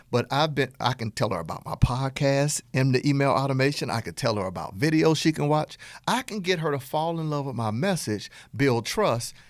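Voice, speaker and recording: A Southern American man coaching his audience on business, talking with a folksy, high-energy swing. His pitch rises and falls a lot as he makes his points. The sound is dry and close, with no room echo. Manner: energetic, animated, folksy with jokes and laughs. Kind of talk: direct-to-camera teaching talk.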